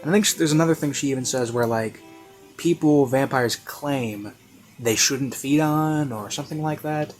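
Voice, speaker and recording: A man's voice: medium pitch, normal speed. A man giving a casual, unscripted review in a rambling, hesitant way with lots of fillers. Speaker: online reviewer. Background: classical music.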